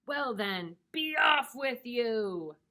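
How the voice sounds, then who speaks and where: A woman voicing a mean, ugly troll in a children's storybook, roaring and menacing with drawn-out words. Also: on the high side, animated, creaky, bright, forceful tone, exaggerated voice. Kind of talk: children's storybook read-aloud.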